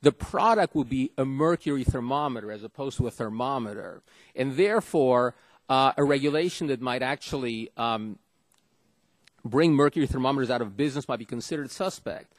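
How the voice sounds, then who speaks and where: A law professor from Argentina at the witness table, a man carefully and haltingly building his case, his voice close and dry. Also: medium pitch, no room sound. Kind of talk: formal hearing testimony. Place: legislative hearing room.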